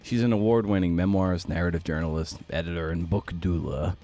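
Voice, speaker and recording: A man's voice: deep voice, fairly even intonation, fairly quick, warm tone, soft background music. A man hosting a podcast in a conversational style. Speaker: podcast host.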